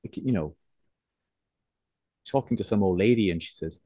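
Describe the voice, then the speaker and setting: A man in his forties from Cork with a soft, neutralised Irish accent close to English, talking reflectively and hesitantly in an interview, often circling back to rephrase. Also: low-pitched, lively intonation, moderate pace, breathy edge, dry recording. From Cork, Ireland. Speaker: interviewed writer.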